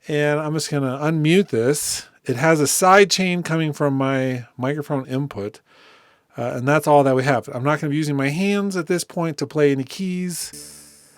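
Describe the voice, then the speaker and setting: A man giving a casual, conversational software walkthrough as a tutorial host. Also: a little high, moderate pace, clean tone, no room sound, clean studio sound. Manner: informal, conversational.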